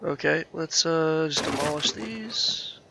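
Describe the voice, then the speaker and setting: A man's voice: on the high side, slow, gravelly. A man commentating his own gameplay, thinking aloud with lots of fillers, his voice nasal and scratchy from being sick. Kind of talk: casual let's-play commentary.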